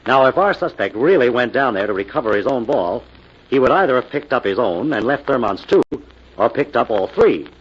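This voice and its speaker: An actor playing a confident, brisk, authoritative detective hero in the style of 1940s radio drama. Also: male voice, mid-range voice, sing-song, slightly rough. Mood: confident reasoning. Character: confident, commanding male amateur detective hero. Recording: dull, muffled old radio recording.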